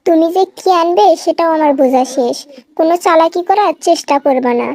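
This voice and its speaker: Very high-pitched nagging cartoon wife. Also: female voice, a little fast, darkish tone. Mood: bossy, suspicious. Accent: rural Bangladeshi. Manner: scolding, wailing, complaining. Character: nagging, aggrieved young wife in a comic cartoon. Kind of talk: melodramatic comic cartoon dialogue.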